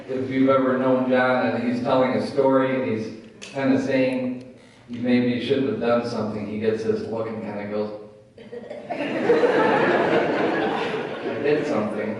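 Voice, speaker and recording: A man tells informal stories from some distance away in a strongly reverberant room, with faint noise around him. His pace is unhurried and halting, with an amused, anecdotal way of talking. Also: medium pitch. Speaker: speaker telling anecdotes at a memorial. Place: echoey room at a memorial gathering.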